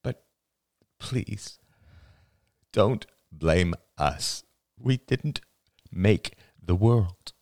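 A man voicing a grieving elderly father in an audiobook, gentle and halting, close to tears. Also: low-pitched, expressive, no echo, voice pushed up, heavily acted. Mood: tearful, pleading. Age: older. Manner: halting, sighing, breaking into sad laughter. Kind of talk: dramatic audiobook dialogue.

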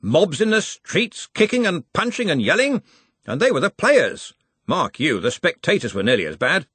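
A man reading an audiobook, voicing a blustering, stubborn old male wizard chancellor with a husky voice. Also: on the high side, big pitch swings, moderate pace, a bit bright, no room sound, voice pushed up, heavily acted.

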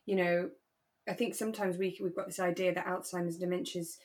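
A woman's voice: fairly low pitch, little pitch movement, brisk, darkish tone. A woman co-hosting a podcast, chatting casually and reflectively about a radio drama. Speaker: podcast co-host.

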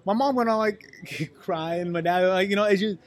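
Guest, man, halting but animated talker with a slightly raised pitch. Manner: halting, repeats words, animated. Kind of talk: casual chat.

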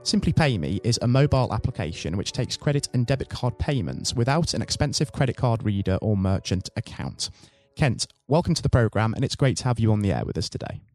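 A man's voice: mid-range voice, sing-song, fairly quick, darkish tone, soft background music. A man hosting a podcast, who gives a scripted introduction in a polished, formal presenter manner and then asks interview questions. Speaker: podcast host.

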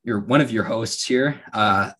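Laid-back slightly raspy young male host. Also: on the low side, unhurried. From Alaska. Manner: relaxed storytelling with frequent fillers and laughs. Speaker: podcast host. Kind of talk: casual podcast chat.

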